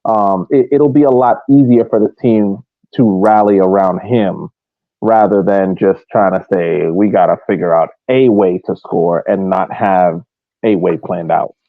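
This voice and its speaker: A man casually breaking down soccer as a podcast co-host, explaining his points in a measured way. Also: sits low, dry recording. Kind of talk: casual sports analysis. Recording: muffled narrow phone-like line.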